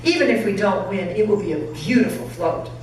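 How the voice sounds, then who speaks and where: A woman's voice: mid-range voice, animated, fairly quick, darkish tone, close to an everyday voice. An eager young rancher's daughter, played by a woman in a storybook reading. She sounds hopeful and keen. The recording is very echoey and picked up from far off. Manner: exclamatory, eager. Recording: very echoey hall, distant, faint noise.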